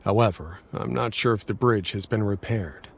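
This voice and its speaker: A grave werewolf leader in a fantasy game. His voice is low and husky, and he speaks in a measured, guarded way, like one responsible for sheltering his people. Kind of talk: dramatic game cutscene acting.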